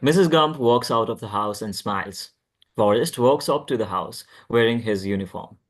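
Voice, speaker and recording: A man's voice: medium pitch, expressive, moderate pace. A man narrating the stage directions of a screenplay at a table read.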